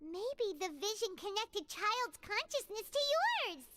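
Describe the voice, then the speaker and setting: An extremely high, squeaky little fairy sidekick from an anime game. The delivery is cutesy and earnest, swinging eagerly up and down in pitch, with loud noise behind it. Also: female voice, big pitch swings, slow, exaggerated voice. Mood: amazed, excited. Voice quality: squeaky, childlike. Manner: cutesy, refers to self by name in third person. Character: small childlike floating fairy companion, chirpy, earnest, excitable. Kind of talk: anime-style video game voice acting.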